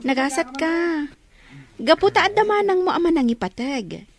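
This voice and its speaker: A woman's voice: very high-pitched, expressive, normal speed. A woman playing a chatty, generous young student friend in an audio drama, teasing and encouraging.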